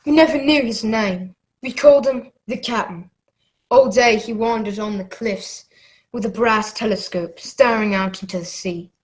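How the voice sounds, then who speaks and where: An earnest narrator played by a woman looks back on a boyhood adventure for a radio play. The voice sits fairly high, and the telling is measured and dramatic. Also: lightly acted, rough recording. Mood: haunted. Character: Jim, grown man recalling his boyhood adventure, earnest storyteller.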